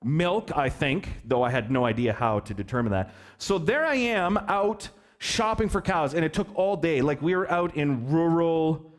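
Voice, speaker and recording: Man, pastor, storytelling sermon, slightly high pitch, playful, asks the room questions. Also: moderate pace. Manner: animated, joking, asks the kids questions. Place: church hall.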